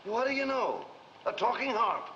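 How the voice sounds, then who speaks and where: A man's voice: very high-pitched, sing-song, moderate pace, sharp tone, full character voice. A man playing a fussy, cowardly village schemer in an old film comedy, scolding and blustering nervously. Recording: dull old film soundtrack, faint noise.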